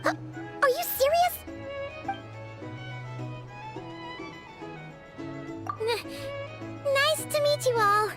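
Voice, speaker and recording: An excitable little fairy companion from an anime game, squeaks in an extremely high, childlike voice. Her pitch bounces about freely, with clear music playing behind her. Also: measured pace. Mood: flustered. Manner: excitable, refers to self in third person. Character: tiny excitable floating fairy-like girl companion.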